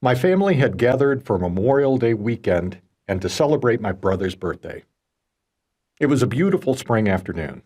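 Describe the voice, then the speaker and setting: A man narrating a first-person audiobook as its author, telling a personal story in measured phrases. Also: mid-range voice, very animated, a little mellow. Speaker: author reading own audiobook.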